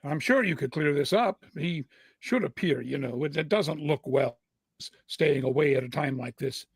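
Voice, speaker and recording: A male actor as a courteous, anxious attorney in a 1930s radio mystery. His voice is fairly high and recorded very dry. He speaks hesitantly and imploringly, always polite. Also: moderate pace, breathy edge, no echo. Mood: hopeful, pleading. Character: earnest, anxious, courteous male lawyer pleading for help.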